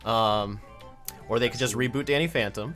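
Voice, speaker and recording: A man's voice: medium pitch, moderate pace, music in the background. A man in his twenties hosting a casual fan interview, informal and a little hesitant, repeating words as he phrases thoughts. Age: 20s. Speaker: interviewer, fan show host. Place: home office.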